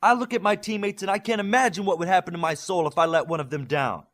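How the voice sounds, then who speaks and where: Guy doing fierce proud warrior superhero leader, raised pitch, loud impassioned audiobook speech. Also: fast talker. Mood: fierce, rallying. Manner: forceful, impassioned oratory. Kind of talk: audiobook character acting.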